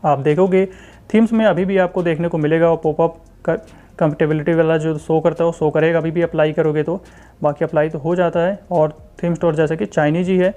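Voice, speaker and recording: An Indian-accented man talks through a software review in a casual way. His voice sits a little high for a man, with a distinctly dark, relaxed tone. He explains step by step, speaking straight to viewers and often restating his points. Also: a little fast. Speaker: tech channel host reviewing phone software. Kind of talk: casual screen-recording walkthrough commentary.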